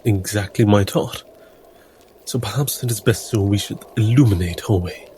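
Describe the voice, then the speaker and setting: A man's voice: lowish voice, normal speed, dark tone, a little hiss or hum. A man playing a tabletop roleplaying game, speaking in formal, articulate character and narrating his own actions with theatrical flair. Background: music under the voice.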